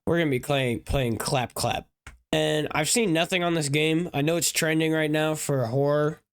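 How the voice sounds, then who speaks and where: A man hosting a gaming channel, chatting casually through a let's-play with a raspy, congested voice from a sinus illness, amused and coughing now and then. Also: on the high side. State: raspy, recovering from sinus trouble. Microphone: headset. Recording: loud steady background noise.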